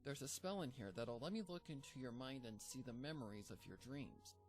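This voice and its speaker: A man reading a fanfic aloud, voicing an earnest, bookish young female pony in a gentle, lightly raised voice. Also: medium pitch, expressive, moderate pace, soft background music, natural voice. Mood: reassuring.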